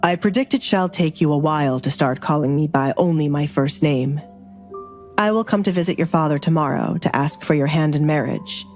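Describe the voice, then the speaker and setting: A woman's voice: low-pitched, normal speed, darkish tone, faint music behind, voice pushed down, close to an everyday voice. A woman voicing a dangerous nobleman duke in an audio romance, formal, commanding and dryly teasing. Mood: composed, formal. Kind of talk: dramatized audio romance dialogue.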